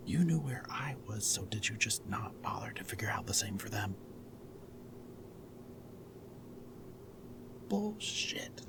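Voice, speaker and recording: A male actor plays a very low-voiced rebel man in a dystopian audio roleplay. He is protective and defiant, with a teasing, flirty streak and a wry, intimate delivery. There is sound behind his voice. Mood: urgent, angry. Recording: background music, loud ambient noise in places.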